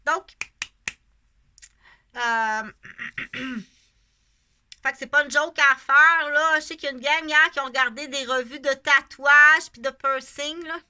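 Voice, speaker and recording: This is a middle-aged woman with a Québécois accent, speaking unscripted to her viewers. Her voice sits high and has a sharp, bright edge. She rambles from point to point and repeats phrases for emphasis. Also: takes it slowly, pauses often. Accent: Québécois French. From Canada. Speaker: vlogger. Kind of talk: casual unscripted monologue to camera.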